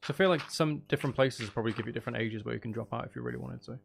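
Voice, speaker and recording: A man's voice: medium pitch, rapid-fire, vocal fry. A man casually chatting on a live game stream, musing aloud and talking to viewers as he plays. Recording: faint background noise.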